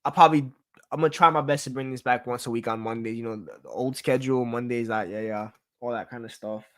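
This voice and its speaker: A man's voice: medium pitch, fast talker, a bit bright. A young man hosting his own sports talk show, venting in a casual, exasperated rant full of repeats and swearing.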